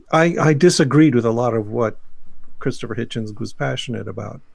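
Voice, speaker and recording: A man with a husky voice and a creaky edge gives his opinions as a discussion guest. He speaks deliberately and with emphasis. The sound is close and dry. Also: mid-range voice, darkish tone, no echo. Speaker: guest commentator.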